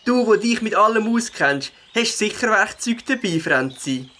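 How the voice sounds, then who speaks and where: Man playing a lively young cartoon beaver. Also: sits high, moderate pace. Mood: friendly, let down. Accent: Swiss German. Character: young male beaver, good-natured, a bit downcast. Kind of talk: children's audio drama.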